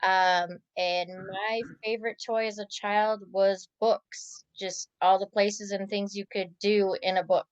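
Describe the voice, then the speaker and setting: Hesitant lady, smooth voice but muffled lo-fi audio. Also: mid-range voice, level tone, slow, few pauses, no echo. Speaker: student. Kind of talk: class introduction.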